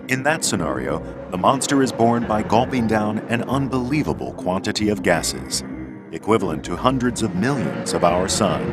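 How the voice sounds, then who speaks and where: A man reads scripted documentary narration in a low register. His delivery is measured and explanatory, with lively, varied intonation, and music plays clearly underneath.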